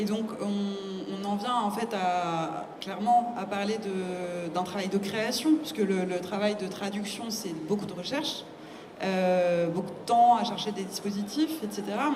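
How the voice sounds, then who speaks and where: A woman's voice: medium pitch, moderate pace. A woman hosting a conversational literary interview, searching for her wording as she frames questions. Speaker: host, interviewer. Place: public book fair talk. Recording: echoey hall, loud ambient noise.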